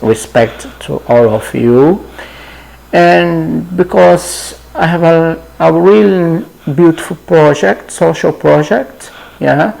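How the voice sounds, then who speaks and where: A Brazilian man in his fifties with a Portuguese accent, introducing himself to viewers in a halting, friendly way, often trailing off with "yeah". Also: on the high side, vocal fry, darkish tone, poor audio. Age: 50s. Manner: halting, many fillers and restarts, often adds "yeah". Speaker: channel host. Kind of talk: casual personal introduction.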